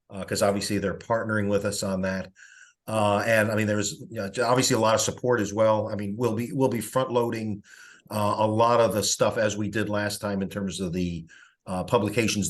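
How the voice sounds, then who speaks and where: Man, lowish voice, informal meeting update, conversational, rambling delivery, teleconference. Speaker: publicity coordinator reporting at a committee meeting. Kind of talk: informal spoken report on a teleconference. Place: online teleconference call.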